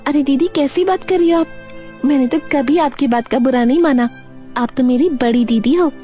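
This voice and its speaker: A woman voicing a gentle, helpful young daughter-in-law in a cartoon story, eager and caring. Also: sits high, normal speed, soft background music, voice pushed up, close to an everyday voice. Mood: reassuring.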